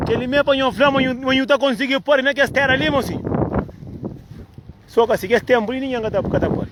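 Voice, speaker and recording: A man's voice: extremely high pitch, background noise. A man from Cape Verde vlogging outdoors in the cold, rambling and repeating himself in a playful way.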